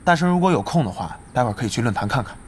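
An actor playing an earnest young esports team captain, polite, hesitant and deferential, in a radio drama. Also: male voice, medium pitch, big pitch swings, rapid-fire, natural voice. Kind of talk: Chinese radio drama dialogue. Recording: music behind the voice.

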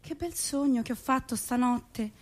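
Woman playing a haughty, high-voiced young lady. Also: normal speed, darkish tone. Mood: dreamy. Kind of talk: theatrical comic stage acting.

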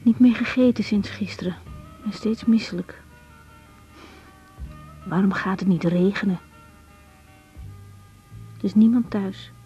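A woman's voice: medium pitch, measured pace, pauses often, dark tone, faint music behind, close to an everyday voice. An actress playing a troubled, conscientious young woman doctor in a Dutch radio drama, speaking hesitantly. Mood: brooding, weary. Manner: hesitant, searching for words. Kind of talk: 1980s Dutch radio drama acting with spoken diary monologue. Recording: dull 1980s radio recording.

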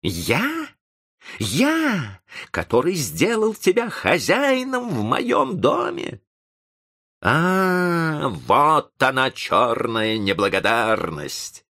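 A man's voice: low-pitched, sing-song, creaky, fairly bright tone, exaggerated voice. A man playing a kindly, wounded old professor of seventy in an audiobook story, plaintive and emotional, full of questions. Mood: indignant, hurt. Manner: halting, plaintive, rhetorical questions.

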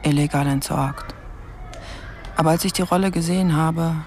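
A woman playing an inexperienced, earnest young police officer, confiding in a husky voice in a radio play. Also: sits low, fairly even intonation, moderate pace, darkish tone, a little hiss or hum, natural voice.

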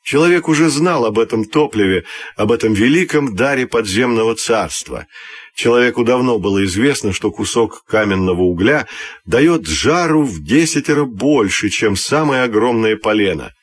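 A man narrating a scripted fairy tale for children's radio, telling it expressively and deliberately. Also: medium pitch, animated, slightly rough. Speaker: storyteller.

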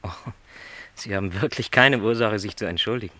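A middle-aged travelling salesman in a radio drama, recorded very dry and close. His voice is lowish with a bright, forceful edge, and he talks courteously, with lonely, self-doubting resignation. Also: a little fast, dry recording. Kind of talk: literary radio play dialogue.

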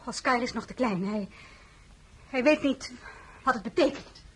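High-voiced spirited young wife, played by an actress. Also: female voice, moderate pace, a bit bright, slight background noise, close to an everyday voice. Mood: firm, indignant. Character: Désirée, young marshal's wife, spirited, protective mother. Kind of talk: radio play acting.

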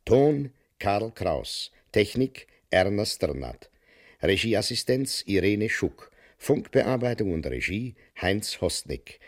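A man reading scripted radio credits in a formal, clearly enunciated announcer's manner. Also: on the low side, sing-song, slow.

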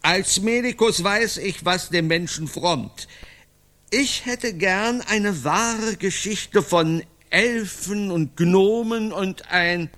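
A man's voice: sits high, slow pace, a bit bright. An actor playing a muddled, whimsical old medieval physician in a radio play, hesitant and wandering in his speech. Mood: dithering. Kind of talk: German radio play acting.

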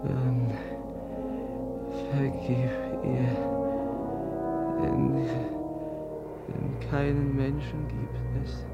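An actor in a 1950s radio drama playing a gentle, trembling young prince keeping vigil, speaking hushed and halting. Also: male voice, medium pitch, little pitch movement, gravelly, highs cut off, over loud music. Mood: prayerful. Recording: old 1950s mono radio recording.

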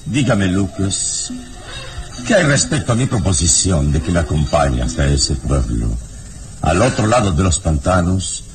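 A serene hero, deep voice, lively intonation, measured and commanding, hissy old tape. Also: male voice, over music, exaggerated voice. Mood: calm, firm. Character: serene, heroic man, calm and daring. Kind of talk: 1960s radio drama acting. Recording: noisy old radio recording.

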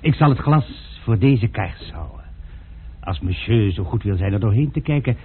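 A man's voice: fairly low pitch, animated, normal speed, slightly rough. A man playing a fawning, luckless servant. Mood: coaxing. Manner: obsequious, says monsieur constantly. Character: fawning hapless male servant and cook. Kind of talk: radio drama acting. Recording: muffled phone-like old radio recording.

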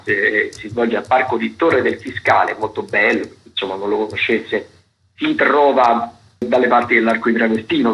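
A man, a theatre critic giving the week's stage listings on radio in a hesitant, casual way with many fillers and restarts. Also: mid-range voice. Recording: dull lo-fi radio broadcast.

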